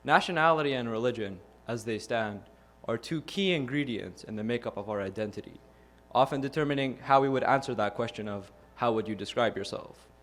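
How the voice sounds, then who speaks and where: Young guy from iraq giving a youth talk, earnest and measured, middle pitch. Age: young adult. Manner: measured, earnest delivery with rhetorical questions. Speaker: youth speaker. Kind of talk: prepared speech.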